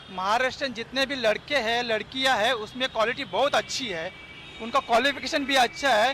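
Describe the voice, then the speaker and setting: Man, street interviewee, insistent, very high and gravelly, light traffic behind. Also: sharp tone. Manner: insistent, rhetorical questions. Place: outdoors on a city street.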